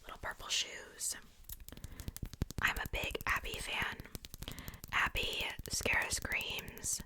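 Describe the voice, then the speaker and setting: A woman speaking in a soft, breathy whisper, chatting casually and unhurriedly in ASMR style close to a sensitive microphone. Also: slow. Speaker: ASMR video creator.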